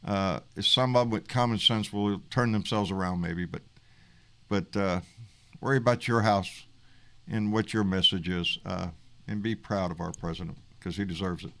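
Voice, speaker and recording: A man's voice: sits low, steady tone, normal speed, pushed and bright. A man hosting a casual live-stream, folksy and rambling, repeating his words. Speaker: host.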